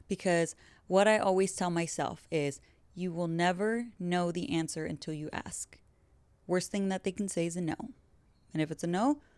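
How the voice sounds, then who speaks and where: A woman in her twenties hosts her own podcast from a garage she converted into a studio. She rambles cheerfully with a self-deprecating streak. Her voice sits a little low and sounds smooth and clear, and the recording is dry and close. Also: no room sound. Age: 20s. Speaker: podcast host. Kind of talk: casual solo podcast monologue. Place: home garage set up as studio.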